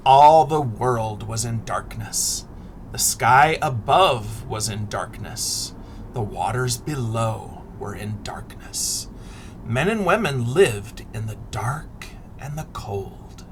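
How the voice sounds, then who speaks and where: A middle-aged man reading a picture book aloud expressively to children, deliberate and dramatic, often dropping into a hush. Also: mid-range voice, lively intonation, a little hiss or hum. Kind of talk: expressive children's read-aloud with asides and questions.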